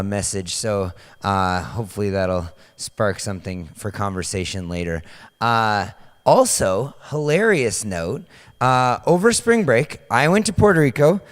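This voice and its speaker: Young male pastor, casual sermon, slightly hoarse, smooth voice on a handheld mic in a reverberant hall. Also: on the low side, a little slow. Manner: loose, chatty, many fillers and restarts. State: voice partly lost, slightly hoarse. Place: large dark stage in a church hall.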